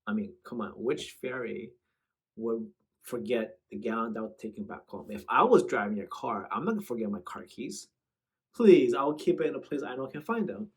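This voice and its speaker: A man's voice: medium pitch, slightly rough. A man hosting casual reaction commentary in a slangy, bantering way. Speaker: host.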